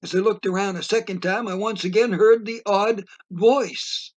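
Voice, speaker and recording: A man playing a rambling, easily spooked beachgoer who tells his tale in dramatic, drawn-out audio play narration. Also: high voice, steady tone. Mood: chatty, puzzled. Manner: theatrical, drawn-out emphasis.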